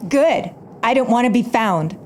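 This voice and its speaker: A woman's voice: a little high, expressive, a little slow, a little hiss or hum. A woman playing an embittered, defiant stage magician in an audio drama, narrating her own story with dramatic intensity. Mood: angry, defiant. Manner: dramatic, swings from hushed narration to angry outbursts. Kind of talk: audio drama acting with first-person narration.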